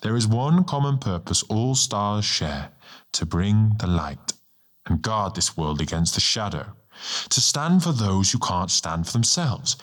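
A man voicing a serene, ancient male star guardian, a measured and lightly amused mentor in an audiobook. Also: lowish voice, big pitch swings, a bit bright, exaggerated voice. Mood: serene, instructive. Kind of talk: audiobook character dialogue. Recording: music bed under the voice.

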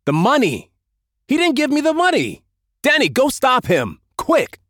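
Man voicing an aloof, swingy-pitched young gang leader. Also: very animated, unhurried, no echo, studio quality. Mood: outraged. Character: cool, aloof, self-interested young male gang leader. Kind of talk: audiobook character dialogue.

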